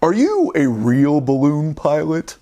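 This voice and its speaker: A woman's voice: deep voice, big pitch swings, slow, warm tone, heavily acted. A woman voicing a curious, friendly bear in a children's storybook, with a simple questioning manner.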